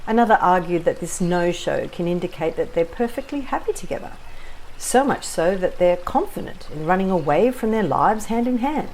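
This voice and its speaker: A woman's voice: on the low side, lively intonation, moderate pace, darkish tone, slight background noise, rough recording. An actress playing a self-satisfied, whimsical woman, narrating an audio play in a theatrical, wry, ornate way. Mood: bemused, wry. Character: self-satisfied, whimsical Australian woman recalling a wedding trip. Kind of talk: scripted first-person storytelling.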